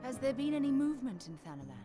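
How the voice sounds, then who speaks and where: An actress playing a composed, shrewd investigator in a fantasy video game, speaking formally in measured, old-fashioned phrasing. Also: female voice, high voice, very animated, music in the background. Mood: assured, matter-of-fact. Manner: formal, measured, archaic diction. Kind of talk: dramatic video game voice acting.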